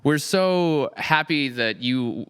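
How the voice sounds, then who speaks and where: A man's voice: fairly high pitch, lively intonation, slow, fairly bright tone, clear, resonant tone, no echo. A man with a clean, resonant voice hosts a casual interview podcast. His delivery is effusive and appreciative, and the sound is dry and close. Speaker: podcast host and series creator.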